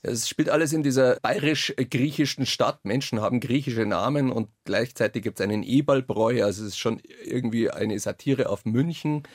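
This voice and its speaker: Male interviewee, lowish gravelly voice, reflective and informal, rephrases as he thinks, close dry studio mic. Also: a little mellow, no echo. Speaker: author interviewed about his radio play.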